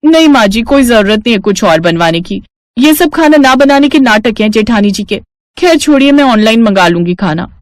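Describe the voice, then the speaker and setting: A woman's voice: fairly high pitch, expressive, normal speed, dry recording, voice pushed up, natural voice. A woman voicing a smug, boastful young working daughter-in-law in a story, sugary to elders and condescending. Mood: demanding, complaining.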